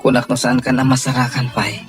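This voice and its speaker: A man playing an earnest, apologetic young gentleman suitor in an Ilocano radio drama, with an Ilocano accent. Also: mid-range voice, little pitch movement, quick pace, over music, close to an everyday voice. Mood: earnest, pleading.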